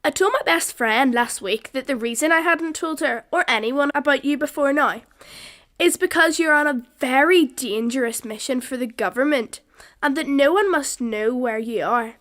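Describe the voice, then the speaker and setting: High-voiced, earnest young daughter female performer. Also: moderate pace, a bit bright. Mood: earnest, wistful. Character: earnest twelve-year-old daughter writing to her father. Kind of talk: radio play letter reading.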